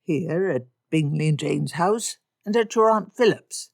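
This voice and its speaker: Woman reading a weary, deadpan father. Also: sits low, a little slow, creaky, dry recording, clean studio sound, exaggerated voice. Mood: dry weary. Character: weary dry-witted father of five daughters.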